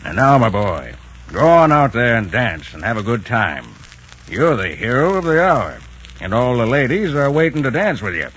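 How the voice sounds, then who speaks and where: A man's voice: medium pitch, very animated, normal speed, noisy background, natural voice. An actor playing a grateful, fatherly American general in a 1940s radio drama, formal at first and hearty later. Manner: formal and solemn, then hearty. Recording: muffled old radio broadcast with music.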